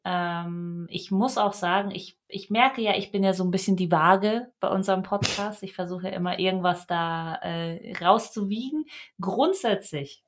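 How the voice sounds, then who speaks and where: A woman's voice: fairly low pitch, moderate pace. A woman talking casually and giving her view as a podcast co-host.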